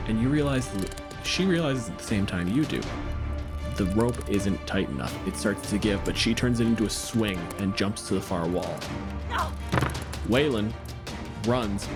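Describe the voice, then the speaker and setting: A man as game master, giving rulings and narrating action in short, dramatic statements. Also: on the low side.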